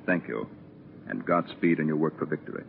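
A man's voice: rumbling low, expressive, a little fast, creaky, narrow, tinny audio, a little hiss or hum. A man making a formal, courteous announcement on an old radio broadcast.

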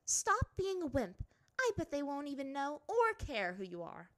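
A woman's voice: sits high, wildly swooping pitch, measured pace, a bit bright, voice pushed up, exaggerated voice. A woman voicing a smug, blunt, bossy teenage girl of royal blood in a storybook reading.

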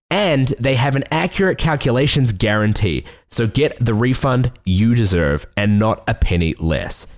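A young man reading sponsor ads on a podcast in an upbeat, salesy way. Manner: upbeat salesy delivery, lively pitch. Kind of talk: scripted ad read.

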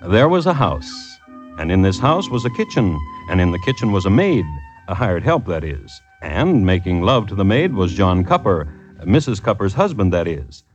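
An actor playing a dry, wry connoisseur of crime, narrating deliberately as host of an old-time radio show. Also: male voice, on the low side. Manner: droll, deadpan, deliberate storytelling. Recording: muffled vintage radio broadcast with music.

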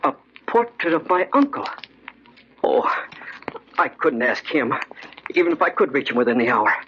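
A man's voice: fairly high pitch, very animated, moderate pace. A man playing an anxious, weak-willed young nephew, agitated and muttering to himself in 1940s radio drama style. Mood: anxious dithering. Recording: muffled, crackly old radio recording.

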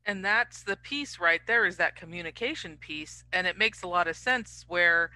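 A woman's voice: lowish voice, animated, moderate pace, bright, forceful tone, no room sound, rough recording. A woman hosting a podcast, talking in a conversational, reflective way and prompting her guest. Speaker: podcast host. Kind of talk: casual podcast interview.

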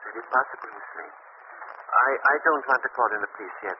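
An actor playing a worried zoo doctor who talks in a hesitant, fussy way, in 1940s radio acting. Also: male voice, mid-range voice, fairly quick, lightly acted. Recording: noisy, muffled old radio recording.

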